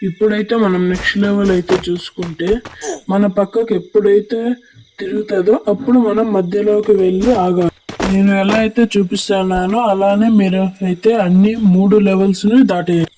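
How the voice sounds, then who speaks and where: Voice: mid-range voice, steady tone, brisk, noisy background. A gaming creator with a Telugu accent and a voice changer effect, giving instructional, repetitive gameplay tutorial commentary. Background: music.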